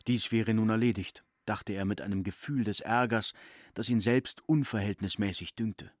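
A man giving measured, formal literary narration in a radio play. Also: fairly low pitch, steady tone, no echo, studio quality, close to an everyday voice.

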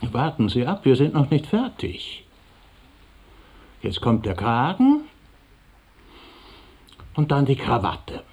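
A man's voice: mid-range voice, big pitch swings, slow, pauses often, darkish tone, husky, exaggerated voice. A man playing a self-assured, persuasive businessman in a German radio play, encouraging and full of rhetorical questions. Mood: brisk, satisfied.

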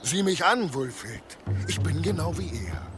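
A man's voice: fairly high pitch, big pitch swings, measured pace, music in the background. A man playing an arrogant, seductive, menacing immortal monster god in a radio drama, speaking in a grandiose, taunting way. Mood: probing. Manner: grandiose, taunting, dramatic shifts from hushed to loud. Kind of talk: German radio drama acting.

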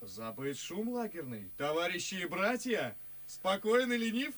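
A man playing an ardent, ironic, teasing young gentleman in a classical verse radio play. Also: high-pitched, very animated, measured pace, heavily acted. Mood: delighted. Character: witty, excitable, mocking young gentleman.

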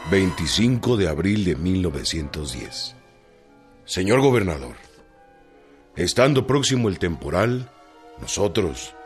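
An actor playing a humble Mexican peasant, reading out a formal petition solemnly and earnestly in a radio drama. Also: male voice, deep voice. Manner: solemn, measured, pleading.

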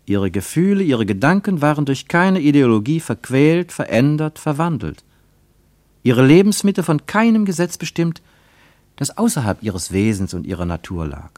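Earnest guy acting as radio play narrator, really varied melody, deliberate phrasing, dry studio sound. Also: mid-range voice, very animated, darkish tone, dry recording, natural voice. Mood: contemplative. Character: earnest, contemplative male narrator. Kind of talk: literary third-person narration, radio play.

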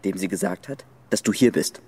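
An actor playing the hateful, taunting ghost of a dead young friend, inciting and urging in a German radio drama. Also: male voice, lowish voice, sing-song, brisk, creaky, a little mellow, slightly rough, full character voice. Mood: sneering, distrustful.

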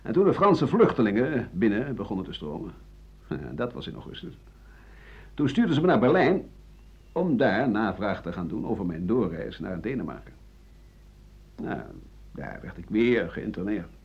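Bitter older doctor-writer, low husky voice, defiant, emphatic, scornful, radio drama monologue, dull recording. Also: male voice, very animated, moderate pace, pauses often, heavily acted. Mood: weary, bitter. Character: embittered older French doctor-writer accused of treason, defiant.

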